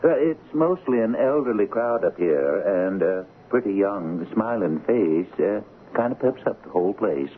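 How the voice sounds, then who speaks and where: A man's voice: on the low side, expressive, a little slow, hardly any pauses. An actor playing a mild, kindly old hotel manager in a radio drama, hemming and hawing as he speaks. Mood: mild, halting. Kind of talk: 1970s radio drama acting. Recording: muffled lo-fi radio recording with hiss.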